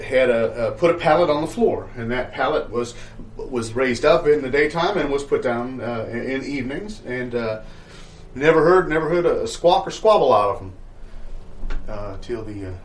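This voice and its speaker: A man playing an evasive, sales-hungry landlord in an improvised comedy sketch, with halting, stammering salesman patter full of euphemisms. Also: mid-range voice, lively intonation, natural voice. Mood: rambling, reassuring. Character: evasive, sales-hungry male landlord showing shabby rental bungalow.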